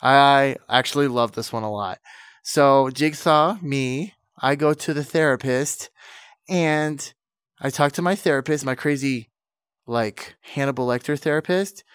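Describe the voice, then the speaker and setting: A man's voice: mid-range voice, a little slow, a bit bright, smooth, no echo, studio quality. A man with a cold and a fading voice, chatting enthusiastically as a channel host. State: sick, losing his voice. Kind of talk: casual vlog intro and comedy skit.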